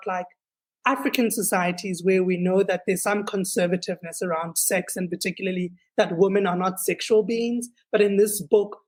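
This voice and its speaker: A woman hosting a podcast, asking an earnest, conversational interview question. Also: mid-range voice. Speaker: podcast host.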